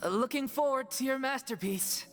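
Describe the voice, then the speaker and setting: A woman's voice: high voice, moderate pace, music in the background. A woman voicing a friendly, upbeat theater troupe member in a video game, casual and coaxing.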